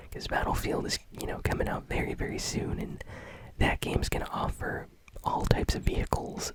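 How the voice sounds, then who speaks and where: A man whispering gaming news in a relaxed ASMR style, chatty and full of fillers. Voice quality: unvoiced whisper. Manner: casual whisper with many fillers.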